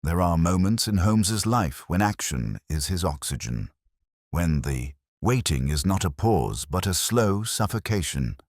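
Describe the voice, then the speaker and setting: A man with an extremely low voice narrates a mystery story in solemn, literary phrasing. His pitch moves expressively through each measured sentence. The sound is dry and close, with little room around it. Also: very animated, a little mellow, no room sound. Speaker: audiobook narrator reading as Dr. Watson. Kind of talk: scripted dramatic story narration.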